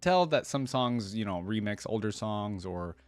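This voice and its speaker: A man's voice: on the low side, lively intonation, normal speed, no echo. A man hosting a reaction video with casual, off-the-cuff commentary, musing aloud as he goes.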